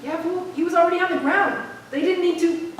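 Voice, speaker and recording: In a roomy, echo-heavy hall, recorded from a distance, an actress plays a defiant young female poet. Her voice is high and she delivers her lines with emphatic rhythm and passion. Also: fairly even intonation, a little fast. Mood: feisty, challenging. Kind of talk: spoken-word poetry and staged dialogue. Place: staged reading in an arts center hall. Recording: echoey hall, distant mic, faint noise.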